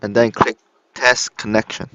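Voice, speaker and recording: A man narrating a step-by-step software tutorial in short, halting instructional phrases. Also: fairly low pitch, level tone. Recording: dull lo-fi computer recording. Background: screen-recorded tutorial voice-over.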